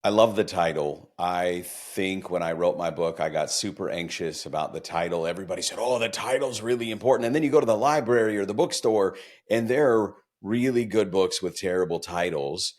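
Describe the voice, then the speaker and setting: A man's voice: sits low, a little fast, darkish tone. A man hosting a podcast interview, chatty and enthusiastic, asking long winding questions. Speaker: podcast host.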